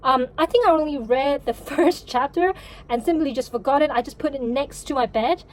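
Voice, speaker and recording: A woman whose first language is Cantonese, chatting casually to a vlog audience in a halting, self-correcting way. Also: high-pitched, poor audio. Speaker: vlogger and novelist.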